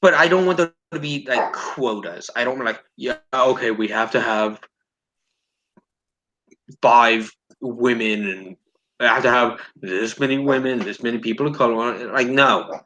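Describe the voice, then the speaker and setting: A man's voice: medium pitch, measured pace, pushed and bright, slight background noise, low-quality recording. A man giving blunt opinions in a casual discussion, repeating his points for emphasis. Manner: blunt, sweary, emphatic repetition.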